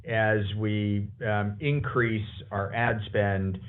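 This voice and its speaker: Male corporate exec, lowish monotone, deliberate hesitant answer, phone-grade audio. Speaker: company executive. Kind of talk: unscripted answer on an earnings call. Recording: thin phone-like conference call line.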